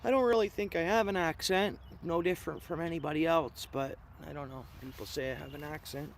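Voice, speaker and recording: A man's voice: on the high side, fairly even intonation, brisk. A Canadian man with an Ontario accent chats casually and in a slangy, laid-back way as he hosts a fishing livestream. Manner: laid-back slangy talk, says dude, man, bro. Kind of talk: casual live chat with viewers. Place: outdoors at a fishing spot. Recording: clear outdoor ambient noise.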